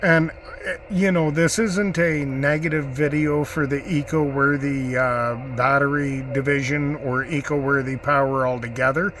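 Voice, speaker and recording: A man giving an unscripted gear review in a measured, halting way with deliberate pauses. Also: mid-range voice, fairly even intonation, fairly bright tone, a little hiss or hum. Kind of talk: unscripted product review talk.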